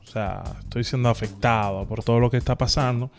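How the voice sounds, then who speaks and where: A man's voice: medium pitch, steady tone, a little fast, close to an everyday voice. Man acting as pushy male laborer, young, needy, easily offended, prank call, music behind. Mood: pleading, subdued. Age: young adult. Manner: persistent, pleading, easily offended. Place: home studio room. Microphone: close studio microphone on boom arm.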